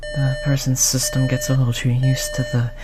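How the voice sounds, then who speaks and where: A performer of unclear sex playing a cold, condescending researcher who disciplines a captive test subject in a measured, mock-polite way, in an audio roleplay. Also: medium pitch, monotone, lightly acted. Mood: weary, businesslike. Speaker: scientist running human experiments. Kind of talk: scripted audio roleplay drama.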